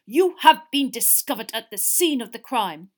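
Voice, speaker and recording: A woman's voice: a little high, very animated, moderate pace. Woman voicing a cold, curt, stern older official. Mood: furious. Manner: accusing, sarcastic, clipped. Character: stern, enraged older male ministry official.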